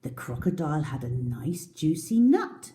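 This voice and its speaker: A woman's voice: low voice, big pitch swings, a little slow, warm tone, slight background noise. A woman reading a picture book to young children expressively and dramatically, often dropping toward a whisper. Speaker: children's storyteller.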